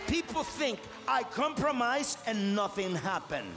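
A man's voice: very high voice, very animated, a little slow. A middle-aged man, preaching a fiery sermon with call-and-response in a large church auditorium. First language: Spanish. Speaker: pastor. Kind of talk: impassioned sermon. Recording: live church hall reverb with music. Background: congregation and faint music.

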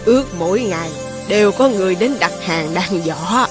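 A woman voicing a chiding old village wife in an animated fairy tale. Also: lowish voice, normal speed, music in the background, lightly acted. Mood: teasing, chiding.

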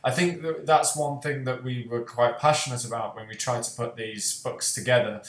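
A man's voice: mid-range voice, fairly even intonation, normal speed. A young man hosting an online panel on a video call, speaking earnestly with many fillers. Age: young adult. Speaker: host and author. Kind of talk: panel discussion. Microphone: headset on video call. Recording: roomy echo.

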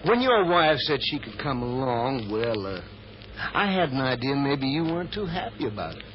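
A man's voice: mid-range voice, big pitch swings, normal speed, natural voice. An actor playing a genial, nosy, teasing man in an old radio drama, folksy and coaxing. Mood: teasing, probing. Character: genial, teasing, nosy male travelling companion. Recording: dull, noisy old radio recording.

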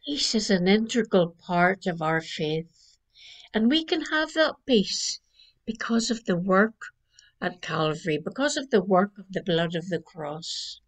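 An older woman minister from Northern Ireland giving a gentle Christmas Eve reflection from her living room, speaking into a webcam. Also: mid-range voice, animated. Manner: slow, gentle preaching cadence. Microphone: webcam at desk distance.

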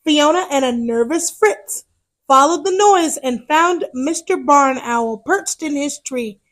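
Female storyteller, high voice, slow pace, expressive, children's book read-aloud. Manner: expressive, deliberate.

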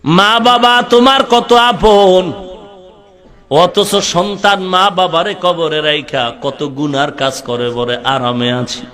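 High-pitched Bangladeshi man preaching passionately. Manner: impassioned, pleading, swinging between loud drawn-out cries and lower storytelling. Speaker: Islamic preacher. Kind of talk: emotive Bengali waz sermon with chanted, sung-out lines.

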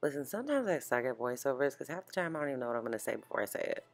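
A young woman with a deep, slightly hoarse, allergy-congested voice, chatting informally through a casual makeup tutorial. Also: big pitch swings, racing. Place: small home art studio, indoors. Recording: roomy echo, music behind.

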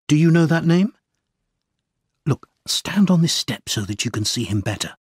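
A man voicing an earnest, determined gentleman pressing for answers in audiobook dialogue. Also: a little high, big pitch swings, normal speed, husky, no echo, studio quality, exaggerated voice. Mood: curious, pressing. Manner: urgent, earnest.

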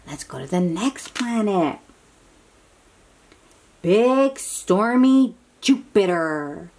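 A woman hosting a picture-book read-aloud for young children, exclaiming and asking coaxing questions in an animated manner. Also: on the low side, breathy edge.

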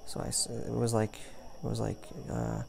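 A man as a gravelly, caring young boyfriend. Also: on the low side, monotone, a crowd in the background. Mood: amused, chatty. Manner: rambling, halting, chuckling storyteller. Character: caring, goofy young boyfriend comforting his partner. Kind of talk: intimate boyfriend roleplay ASMR. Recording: music playing behind the voice.

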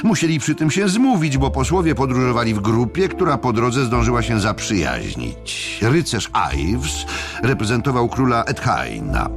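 A man's voice: medium pitch, very animated, moderate pace, over music. A man narrating an audiobook drama from a script, with theatrical, wry storytelling.

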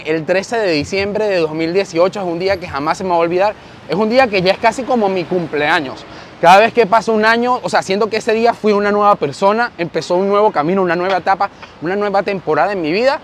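Upbeat Venezuelan man, high voice. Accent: Venezuelan Spanish. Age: young adult. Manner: animated, gestures while walking and talking to camera. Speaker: vlogger. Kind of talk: walking vlog storytelling. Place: busy city street outdoors with traffic. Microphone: lapel mic on shirt, handheld camera. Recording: faint street and traffic noise.

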